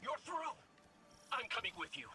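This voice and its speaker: High-pitched, fretful little robot companion. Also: male voice, sing-song, over loud music. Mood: eager, anxious. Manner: halting, fretful, pleading. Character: small anxious robot companion, devoted, fretful and eager. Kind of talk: video game voice acting.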